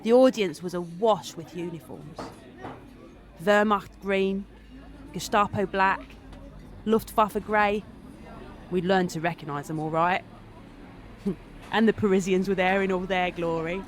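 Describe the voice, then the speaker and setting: A woman's voice: mid-range voice, steady tone, unhurried, pauses often, lightly acted. A woman playing a blunt, cynical Parisian survivor who narrates wartime memories in an audio drama monologue. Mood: proud reminiscing. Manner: wry, blunt.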